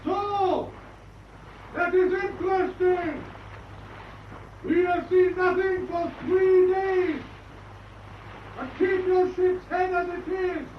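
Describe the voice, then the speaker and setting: An actor playing a brisk, authoritative U-boat commander hailing across the water in a radio drama. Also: male voice, very high voice, steady tone. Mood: hailing, calling out. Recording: muffled, echoing, distant shouts with faint noise.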